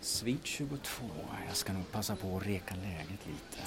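Man playing a low-voiced, shifty schemer. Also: lively intonation, background noise. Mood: sneaking, scheming. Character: shifty Stockholm auction man, sneaking and scheming. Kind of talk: audio drama acting with muttered inner monologue.

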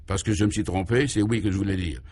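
A man's voice: low-pitched, fast, darkish tone, slightly rough. A man plays a naive reporter in a radio comedy. He speaks plainly and matter-of-factly, eager to learn and ready to be impressed.

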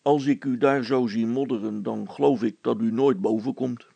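A practical, impatient working man from a storybook, played by a male narrator. He speaks bluntly and emphatically, with an exasperated edge. The voice is husky and heavily creaky, close and dry. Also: medium pitch, fairly even intonation, normal speed, dark tone, no room sound. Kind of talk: acted children's story.

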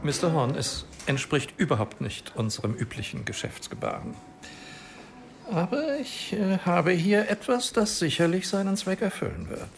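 An actor playing a fussy, correct, deferential bank official in a German radio crime drama, formal and a little hesitant. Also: male voice, high-pitched, sing-song, moderate pace, vocal fry, over music, full character voice.

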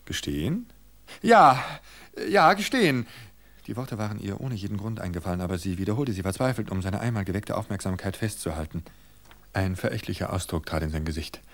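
A man reading a suspense story aloud in a steady, measured narration. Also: low-pitched. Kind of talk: scripted audiobook narration.

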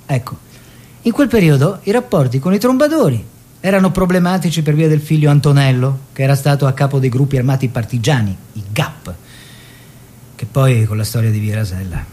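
An actor playing a proud, stubborn, food-loving Italian painter husband in a stage-style drama, with an opinionated, fussy manner. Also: male voice, medium pitch, expressive, a little fast, warm tone, natural voice. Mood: reflective. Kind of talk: theatrical two-hander, letters and dialogue.

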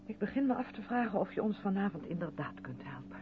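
A hissy, phone-thin old radio drama recording carries an earnest, strict woman played by an actress. Her pitch moves in lively swings as she probes and insists, emphatic in seventies radio style. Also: fast, lightly acted. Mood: probing. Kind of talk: 1970s Dutch radio play acting. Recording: noisy, tinny band-limited old radio recording.

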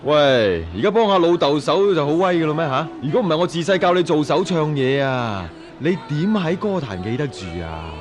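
A man's voice: fairly high pitch, sing-song, moderate pace, few pauses, soft background music. A man with a Hong Kong Cantonese accent playing a bullying middle-aged addict father in a radio drama, sneering and blustering menacingly.

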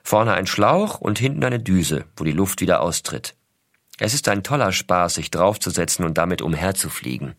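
A man playing an astronaut father reading his letters to his young son, measured and intimate, explaining things simply as to a child. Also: low-pitched, animated, fairly bright tone, dry recording, clean studio sound, exaggerated voice. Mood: wry, matter-of-fact. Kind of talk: scripted narration, letters read aloud.

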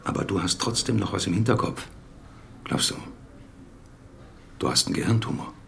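An actor playing a brisk, paternal middle-aged doctor in a radio drama, gravelly and admonishing as he explains things to a patient. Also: sits low, a bit bright, heavily acted.

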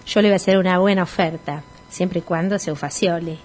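A woman's voice: on the low side, fairly even intonation, fairly quick, a little mellow, lightly acted. An actress with a Rioplatense Argentine accent playing an evasive, pushy female swindler who poses as a polite pianist in a comedic radio play. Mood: pushy, nervous. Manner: hesitant polite patter slipping into brash street slang.

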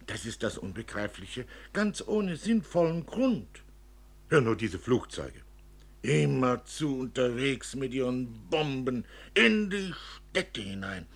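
Casting: male reader as a grave, indignant storybook father, bitter and emphatic, forceful delivery with a rough edge. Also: on the low side, full character voice. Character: worried, bitter father lecturing his young daughter. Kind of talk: dramatic literary storytelling.

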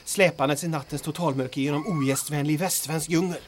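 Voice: mid-range voice, steady tone, slight background noise, close to an everyday voice. An actor doing a grumbling sidekick sergeant. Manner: pedantic, complaining. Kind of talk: audio drama acting.

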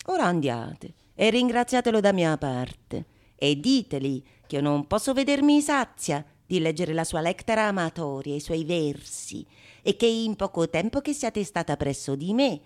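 An actress playing a shrewd, mocking courtesan in a theatrical radio monologue, declamatory and sardonic. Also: female voice, fairly low pitch. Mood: sly, sardonic. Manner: declamatory, sardonic, swinging from sung refrains to hushed asides. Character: shrewd, mocking, calculating courtesan scorning her besotted suitor. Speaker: stage actress performing solo.

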